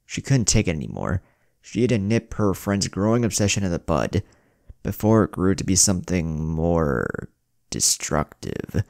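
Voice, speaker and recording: Deep gravelly male story narrator. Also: sing-song, normal speed, a little mellow, dry recording, clean studio sound. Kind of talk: scripted dramatic fan-fiction story narration. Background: music.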